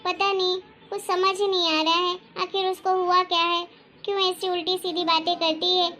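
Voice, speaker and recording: A woman voicing a worried, soft-hearted housewife and mother in a cartoon family drama, talking in a fretful, plaintive way. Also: very high voice, little pitch movement, normal speed. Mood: worried, upset.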